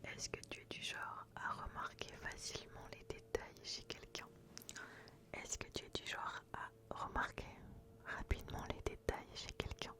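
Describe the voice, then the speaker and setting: A woman speaking in a breathy, unvoiced whisper close to the microphone, gently asking questions in a soothing, repetitive ASMR style. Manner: slow, soothing, repeats words and phrases. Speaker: ASMR host. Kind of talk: ASMR personality-test roleplay, intimate questioning.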